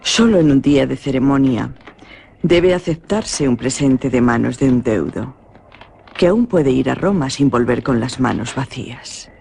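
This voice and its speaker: A woman's voice: very deep voice, lively intonation, a little slow, vocal fry, mellow, a little hiss or hum, heavily acted. An actress with a Spain Spanish accent playing a proud, cold young noblewoman in a radio play, speaking icily and disdainfully. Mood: icy. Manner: icy and disdainful.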